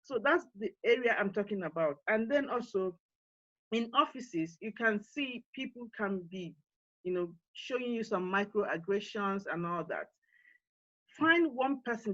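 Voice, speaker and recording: Nigerian woman, summit host; encouraging, halting delivery full of fillers; muffled video-call sound. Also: medium pitch, no echo. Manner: earnest, encouraging, with frequent fillers and restarts. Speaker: forum co-founder and CEO hosting an online summit. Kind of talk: panel talk and closing remarks.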